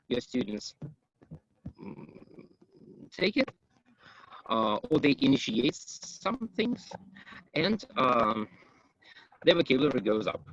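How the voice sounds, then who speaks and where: A man's voice: mid-range voice, fairly bright tone, dry recording. Guy presenting to teachers, lots of ums, muffled dry sound. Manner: hesitant, many ums and uhs. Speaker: conference speaker, English teacher. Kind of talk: conference presentation.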